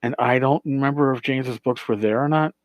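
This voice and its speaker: A man hosts a casual podcast, replying to listeners in a mid-range voice. He speaks haltingly, often repeating words as he searches for the next one. The recording is dry, with very little room sound. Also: medium pitch, dry recording. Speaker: podcast host.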